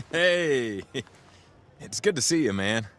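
An actor playing a tough, casual gang boss in a video game, terse and dry. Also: male voice, mid-range voice, expressive, slow, fairly bright tone, a little hiss or hum. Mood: friendly. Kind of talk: video game cutscene acting.

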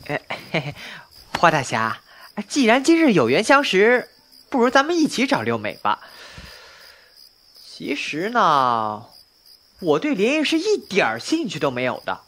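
A woman's voice: lowish voice, wildly swooping pitch, a little slow, pauses often, a bit bright, full character voice. A woman playing a cheeky, boastful young man in a Chinese wuxia audio drama, with playful, teasing delivery.

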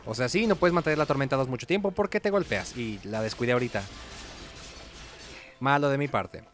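A man's voice: mid-range voice, brisk, soft background music. A man giving casual, chatty commentary as he hosts a gameplay video. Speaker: gaming video host.

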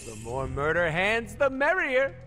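A man's voice: high voice, measured pace, faint music behind, heavily acted. A man playing an irreverent, wisecracking mercenary antihero in a video game, sarcastic and flippant. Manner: sarcastic, flippant, cartoonishly animated. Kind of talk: comic video game character acting.

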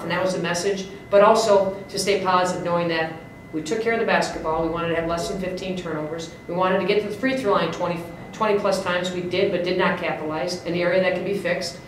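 A woman's voice: on the low side, recorded from a distance. Woman, fifties, basketball coach, postgame presser, emphatic, fillers and restarts, very echoey room, faint hiss. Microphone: desk mic on stand.

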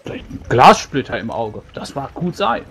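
A man's voice: medium pitch, big pitch swings, normal speed, fairly bright tone, slightly rough. A man playing a tabletop role-playing game, chatting in character in a blunt, informal way. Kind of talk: casual in-character chat.

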